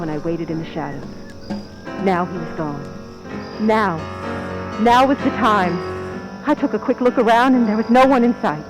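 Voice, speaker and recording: A woman playing a scheming stand-in actress confessing to murder in an old-time radio suspense narration, with tense, deliberate delivery. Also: medium pitch, lightly acted, poor audio. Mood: urgent. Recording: music under the voice.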